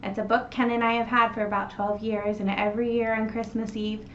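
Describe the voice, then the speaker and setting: A woman's voice: fairly high pitch, flat delivery, a little fast, a bit bright, roomy sound. A woman speaking casually, introducing a family reading in a home video. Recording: dull home recording, faint noise.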